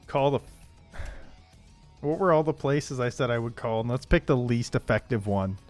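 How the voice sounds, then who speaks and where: A man hosting a livestream, casually and haltingly improvising a text adventure with amused asides. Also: mid-range voice, lively intonation, darkish tone, faint music behind. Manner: amused, laughs easily, pieces sentences together haltingly. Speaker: livestream host playing a text adventure game.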